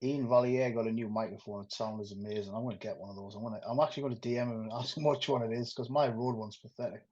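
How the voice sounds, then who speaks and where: A man's voice: on the low side, steady tone, fast, few pauses, a little mellow. A man with a Geordie accent co-hosting a casual podcast, blunt and teasing. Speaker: podcast co-host. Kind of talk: casual chat. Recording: dull lo-fi microphone.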